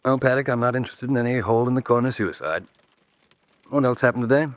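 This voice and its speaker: An actor playing a shrewd, weary gentleman investigator in an old-fashioned radio drama, speaking in a clipped, brusque way. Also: mid-range voice, quick pace, no room sound, close to an everyday voice. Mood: dry, dismissive.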